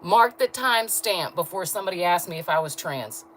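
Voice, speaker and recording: A woman with a low voice chatting with viewers on a livestream, blunt and laughing often. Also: lively intonation, brisk, a bit bright, a little hiss or hum. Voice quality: low voice register. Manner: blunt, talks straight to chat, laughs often. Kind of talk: casual livestream chat with viewers.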